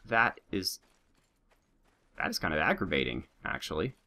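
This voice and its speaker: A man narrating his game in a lively, joking way, his pitch swinging widely as he urges on his men and teases his enemies. He sounds slightly sick, a touch nasal with a sore throat, on a lowish voice with a bright, forceful edge. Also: moderate pace, vocal fry. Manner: animated, joking, talks to his troops and viewers. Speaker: let's play host. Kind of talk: gameplay commentary.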